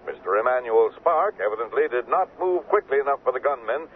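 An actor playing a radio newscaster reading the news formally in a radio drama. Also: male voice, medium pitch, expressive, fairly quick, slight background noise, close to an everyday voice. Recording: tinny radio heard through a set.

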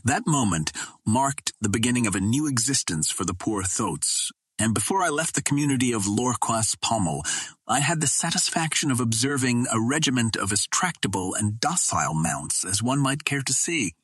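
A man reading a literary adventure story as an audiobook narrator, in a measured, formal storytelling delivery. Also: mid-range voice, lively intonation, a bit bright, husky, dry recording.

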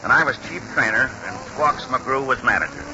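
A man playing a folksy American grandfather and former baseball trainer, animated and ungrammatical, in a 1940s radio drama. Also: mid-range voice, a little fast, lightly acted. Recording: muffled, hissy 1940s radio recording.

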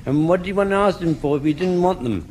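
An actor playing an excitable, rambling old English army corporal, an eager veteran quick to take offence, in a British sitcom. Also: male voice, high-pitched, natural voice. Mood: indignant. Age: older. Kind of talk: British sitcom acting before an audience. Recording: noisy old radio comedy recording.

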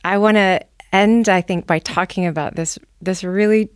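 A woman hosting a radio interview, asking soft-spoken, appreciative questions. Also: medium pitch, steady tone, moderate pace, clear, resonant tone, no echo, studio quality. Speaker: guest host interviewer.

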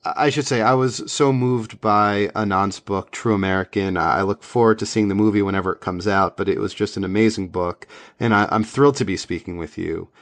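A man's voice: fairly low pitch, brisk, dry recording. A man interviewing a guest, asking eager, rambling questions.

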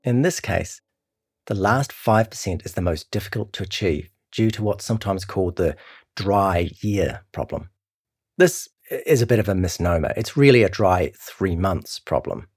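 Low, clear-spoken New Zealand male narrator. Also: expressive, normal speed, a little mellow. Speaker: narrator reading a long-form article. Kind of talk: scripted documentary narration.

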